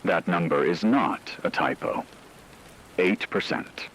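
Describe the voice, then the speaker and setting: A low-pitched actor playing a male newscaster reads an emergency bulletin in a radio drama. He is formal, grave and measured, with a light layer of noise over the recording. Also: a bit bright, full character voice, rough recording. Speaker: broadcast announcer. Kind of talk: scripted emergency radio broadcast narration.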